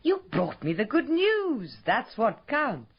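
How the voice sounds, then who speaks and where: A woman's voice: sits high, wildly swooping pitch, slow, muffled recording, exaggerated voice. A woman playing a self-absorbed, glamorous society wife in a radio drama, with a dramatic, effusive manner. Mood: elated.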